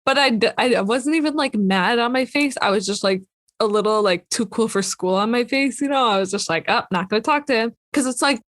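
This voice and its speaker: A woman's voice: on the high side, brisk, a bit bright, no room sound, studio quality. A woman co-hosting a podcast in casual chat, laughing often and teasing herself. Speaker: podcast co-host.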